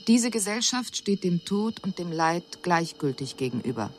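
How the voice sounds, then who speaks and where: An alien envoy reporting on humankind in a radio drama. The voice is fairly low, with sober, precise and formal diction and an analytical detachment. The recording has a slight hiss. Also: female voice, very animated, normal speed, darkish tone. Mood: detached, sober. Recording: faint hiss on 1980s radio production.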